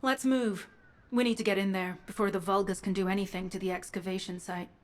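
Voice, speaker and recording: An actress plays a female covert operative in a video game. Her mid-pitched voice is clipped and confident, with a businesslike, matter-of-fact delivery. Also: fairly even intonation, brisk, lightly acted. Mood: urgent. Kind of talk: video game dialogue acting.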